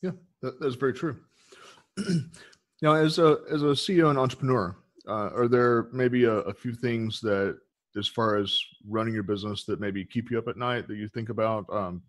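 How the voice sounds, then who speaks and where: A man hosting an interview, asking long rambling questions full of fillers and quick affirmations. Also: mid-range voice, dry recording. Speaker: podcast host, interviewer.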